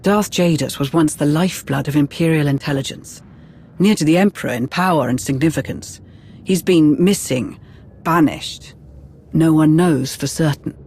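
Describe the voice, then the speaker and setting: An earnest military adviser, with a fairly high, slightly husky voice. He talks formally and with concern, as a dutiful, uneasy aide in a dramatic game cutscene. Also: normal speed, darkish tone. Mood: wary, puzzled.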